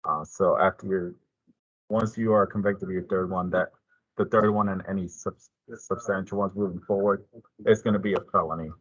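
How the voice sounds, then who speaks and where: Low-voiced husky man, muffled echoey recording. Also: fairly even intonation, normal speed, fairly bright tone. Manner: plain, informal explaining. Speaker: police detective presenting. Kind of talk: informational presentation.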